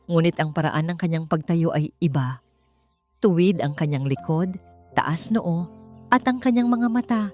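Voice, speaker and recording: Filipina woman narrator, kinda low voice, dramatic audiobook story read, music behind. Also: a little mellow. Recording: music bed under the voice. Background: background music.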